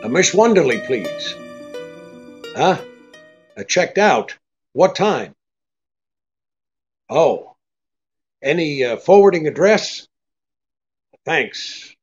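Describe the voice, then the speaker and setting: An actor playing a wary, hard-boiled private detective, curt and sardonic, in 1940s radio drama style. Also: male voice, a little high, big pitch swings, extremely slow, pauses often, lo-fi sound, music in the background, full character voice. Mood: businesslike.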